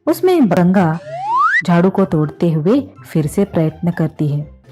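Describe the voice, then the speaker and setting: A woman's voice: on the low side, sing-song, a little slow, music in the background. A woman narrator reading a scripted storybook tale.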